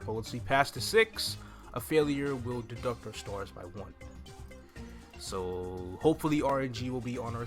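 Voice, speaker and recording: Young male gaming host, mid-pitched, easygoing walkthrough commentary, close desk mic, music underneath. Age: young adult. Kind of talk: casual video game walkthrough commentary. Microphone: desk microphone close to the mouth. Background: game music.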